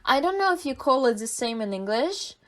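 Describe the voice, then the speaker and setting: Woman with a Russian accent, higher voice. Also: very animated, a little fast, a bit bright. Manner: thoughtful, searching for words with fillers and restarts. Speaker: podcast co-host. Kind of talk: casual chat.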